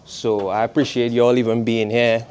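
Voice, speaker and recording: A man's voice: mid-range voice, little pitch movement, normal speed, a little mellow, clear, resonant tone. A man in his twenties from Trinidad, with a Trinidadian accent, giving a laid-back, conversational guest talk to a college class. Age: 20s. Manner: laid-back, conversational, asks "you know what I mean". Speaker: guest speaker, footwear designer.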